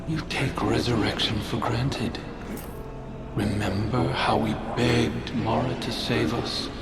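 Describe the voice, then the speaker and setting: An actor playing a taunting, bitter spectre of a fallen prince in a video game, sardonic and theatrical. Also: male voice, medium pitch, little pitch movement, slow pace, heavy background noise, close to an everyday voice. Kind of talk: dramatic video game acting. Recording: loud music under the voice.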